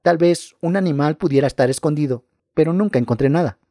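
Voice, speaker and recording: A man reading scary first-person stories aloud in a calm, measured narration. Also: fairly high pitch, no room sound, studio quality.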